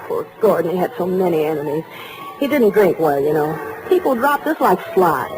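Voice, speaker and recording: A woman's voice: lowish voice, expressive, normal speed, music in the background, close to an everyday voice. An actress playing a flighty, melodramatic rich man's wife in an old radio drama. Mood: mournful, vague. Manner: dramatic, scatterbrained. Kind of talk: 1940s radio drama acting. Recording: noisy old radio recording.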